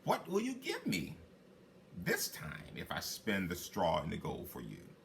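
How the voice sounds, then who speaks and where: A man voicing a sly, insistent little magical man from a fairy tale, making emphatic, deliberate demands. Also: deep voice, huge pitch swings, vocal fry, fairly bright tone, a little hiss or hum, pitched below the performer's own voice, exaggerated voice. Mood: sly bargaining.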